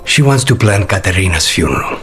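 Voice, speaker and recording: A man's voice: low-pitched, animated, a little fast, a bit bright, faint music behind. A man voicing a brooding, courteous assassin with a dry, guarded manner in a video game cutscene. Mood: soft, reflective.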